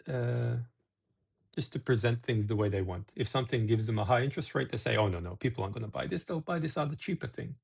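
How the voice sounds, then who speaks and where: A man's voice: medium pitch, gravelly, darkish tone, husky. A middle-aged man from Serbia hosting a casual talk-to-camera video, rambling with restarts and asides. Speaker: video host.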